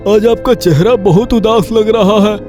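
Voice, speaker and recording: A man playing a concerned, respectful police constable in an animated horror story, speaking deferentially. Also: sits high, unhurried, over music.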